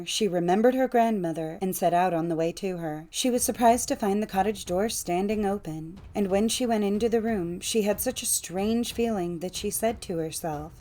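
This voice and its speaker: A woman narrating a fairytale audiobook in a measured, expressive storytelling manner. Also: mid-range voice.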